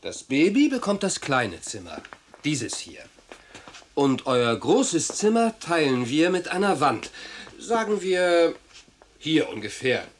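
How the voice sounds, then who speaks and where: Patient dad, lively intonation. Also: male voice, on the high side, slow pace, slight background noise. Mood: explaining. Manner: soothing, explanatory. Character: calm, patient father of a young family. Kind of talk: children's radio play acting.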